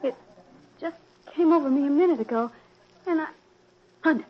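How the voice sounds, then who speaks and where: An actress playing a trusting, lovestruck and uneasy woman, halting and emotional, in 1940s radio drama style. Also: high voice, natural voice. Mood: faint, dazed. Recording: muffled old radio recording, faint noise.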